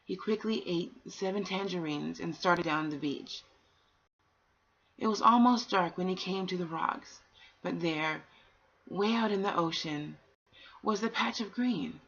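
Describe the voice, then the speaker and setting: A woman with a slightly husky voice reads a storybook aloud as bedtime narration, unhurried, pausing between phrases. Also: lowish voice, fairly bright tone. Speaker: amateur bedtime story reader. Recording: dull, lo-fi home recording.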